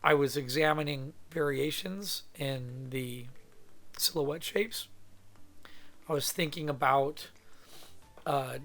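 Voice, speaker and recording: A man from California with a hoarse voice from a cold, thinking aloud in a rambling, casual way as he teaches a drawing demo in his home studio. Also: fairly high pitch, a bit bright. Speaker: art instructor.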